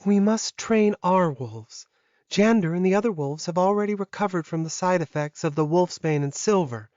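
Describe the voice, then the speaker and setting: Man voicing an anxious young she-wolf, raised pitch. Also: normal speed, darkish tone, dry recording, lo-fi sound, natural voice. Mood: determined. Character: anxious young werewolf woman, soft and emotional.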